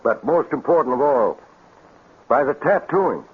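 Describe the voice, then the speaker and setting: A plain-spoken country sheriff in an old radio play. He is firm and folksy, with a rough, husky voice at middling pitch, heard through a tinny, narrow recording. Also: lively intonation. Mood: measured testimony. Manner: folksy, deliberate. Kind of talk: 1950s radio drama acting. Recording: thin, phone-like vintage radio recording.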